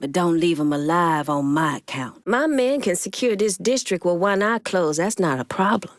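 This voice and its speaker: A woman's voice: lowish voice, animated, normal speed, dry recording, studio quality, close to an everyday voice. An actress playing a tough, shrewd Haitian woman gang boss in a video game, blunt, streetwise and commanding. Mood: angry, threatening, then firm, commanding.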